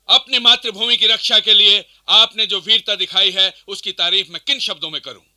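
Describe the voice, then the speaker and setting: A man's voice: sits high, sharp tone, heavily acted. An actor playing a commanding rebel king of 1857, addressing his followers in resolute, declamatory oratory for a radio play. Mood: proud, grateful.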